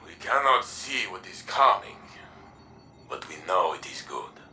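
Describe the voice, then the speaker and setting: An actor playing a noble, warm-hearted robotic warrior in a video game, measured, earnest and solemn. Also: male voice, low voice, very animated, a little hiss or hum, exaggerated voice. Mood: tender, reflective. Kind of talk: scripted video game character dialogue. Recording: faint music behind the voice.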